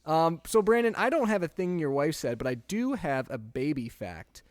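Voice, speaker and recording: A man's voice: a little high, big pitch swings, normal speed, no echo, clean studio sound. A young man co-hosting a podcast, chatting casually and laughing easily as he tells stories. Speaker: podcast co-host. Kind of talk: casual chat.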